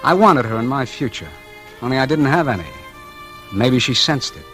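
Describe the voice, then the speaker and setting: Casting: male actor as weary man on the run, 1940s radio noir lead and narrator, wry, terse, mid-pitched, music underneath. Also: lively intonation, moderate pace, fairly bright tone, close to an everyday voice. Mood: brooding narration. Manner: wry, terse, hard-boiled. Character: weary, doomed man on the run, narrating his own story. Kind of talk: 1940s radio noir acting, first-person narration and dialogue.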